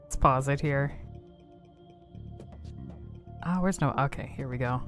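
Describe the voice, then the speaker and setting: A woman's voice: rumbling low, brisk, soft background music. A woman giving casual game commentary, rambling and thinking aloud as she explores cutscenes.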